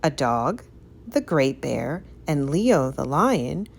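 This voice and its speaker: A woman reading a rhyming picture book aloud for children in an expressive, sing-song storytelling way. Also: deep voice, slow, gravelly, breathy edge. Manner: animated, sing-song, careful storytelling delivery.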